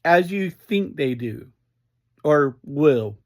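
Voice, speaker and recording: A man giving a casual fan review as a solo podcast reviewer, speaking in halting, broken phrases. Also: on the high side, lively intonation, a little mellow.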